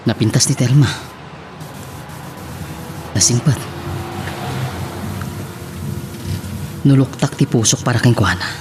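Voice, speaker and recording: An actor playing an earnest, gentle young man in love in an Ilocano radio romance drama. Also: on the low side, natural voice. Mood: reflective. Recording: music behind the voice.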